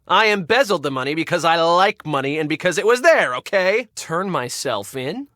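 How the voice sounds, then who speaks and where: An actor playing a bossy, irritable and secretly crooked spa manager in a video game, curt and sarcastic. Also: male voice, fairly high pitch, animated, normal speed, bright, forceful tone, dry recording, clean studio sound. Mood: smug, cold. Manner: curt, sarcastic, barking orders.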